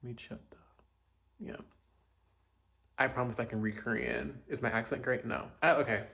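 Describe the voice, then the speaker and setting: A man's voice: medium pitch, fairly even intonation, moderate pace, vocal fry, sharp tone. A man casually chatting through his music reviews, chatty and self-deprecating, with joking asides. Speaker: K-pop reaction host.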